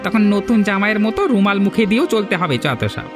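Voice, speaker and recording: A woman's voice: medium pitch, expressive, quick pace, natural voice. A woman voicing a gossipy, scornful village woman in a cartoon. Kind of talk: Bengali cartoon dialogue. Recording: music behind the voice.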